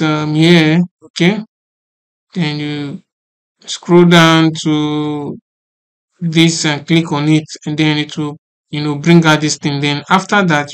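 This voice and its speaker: A man presenting a casual software tutorial in a halting, repetitive way, often checking that the listener understands. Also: on the high side, clear, resonant tone.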